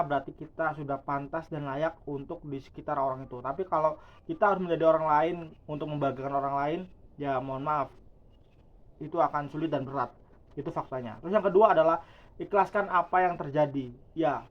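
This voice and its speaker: Chatty reflective young man, lo-fi sound. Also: a little high. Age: 20s. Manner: chatty, reflective, addresses viewers as guys. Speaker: YouTuber. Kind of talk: casual talking-head vlog monologue. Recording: dull lo-fi sound.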